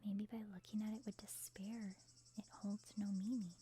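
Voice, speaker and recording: A woman playing an aloof, melancholy young photographer in an anime-style ASMR roleplay, speaking in a soft, pensive way. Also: medium pitch, level tone, normal speed, slight background noise, natural voice. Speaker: voice actor in fan roleplay.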